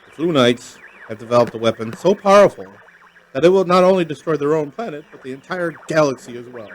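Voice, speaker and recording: A man's voice: a little high, lively intonation, fairly quick, lightly acted, low-quality recording. Man acting a grave, urgent space admiral. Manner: solemn military briefing. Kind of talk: radio play acting.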